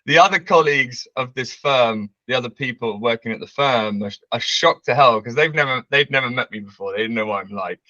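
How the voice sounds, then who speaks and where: A man, telling a story as a guest on a video call, loud and brash, laughing often. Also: mid-range voice, fairly quick, few pauses, no echo, highs cut off. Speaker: interview guest. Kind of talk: casual storytelling. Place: bright home room with large windows.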